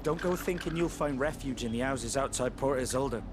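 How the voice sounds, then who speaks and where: A man plays a disgruntled wayfarer in a fantasy game. His voice is medium in pitch, scornful and cautioning, with music playing behind. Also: moderate pace, close to an everyday voice. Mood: scornful warning. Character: disgruntled, warning male traveller.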